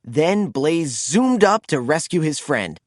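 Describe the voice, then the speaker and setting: A man narrating a children's storybook in an expressive, animated read-aloud style. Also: fairly high pitch, slow pace, no echo, studio quality.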